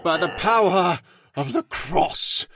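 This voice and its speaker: Man playing a high-pitched, declaiming, devout clergyman. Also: expressive, slow pace, crowd noise, full character voice. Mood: solemn, commanding. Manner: solemn, declamatory prayer delivery. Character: devout, fearful clergyman exorcising a devil. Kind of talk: old-time radio horror acting.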